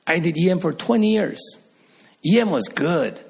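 A man's voice: high-pitched, expressive, normal speed, gravelly, a little mellow, slightly rough. A middle-aged man from Korea, preaching an earnest sermon as a pastor and rising to emphatic peaks.

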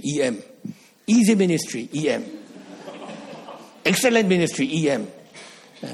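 A man's voice: a little high, creaky, slightly rough. A middle-aged man from Korea, preaching an earnest sermon as a pastor and rising to emphatic peaks.

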